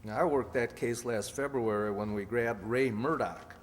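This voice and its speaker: An actor playing a plain-spoken police detective sidekick in a radio crime drama. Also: male voice, mid-range voice, normal speed, natural voice. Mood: earnest. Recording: reverberant hall.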